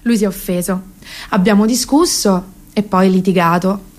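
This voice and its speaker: A young actress performing a comic radio monologue as a self-mocking, exasperated woman near thirty, conversational, ironic and halting. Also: mid-range voice, a little mellow, close to an everyday voice. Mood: wry confessional. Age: young adult.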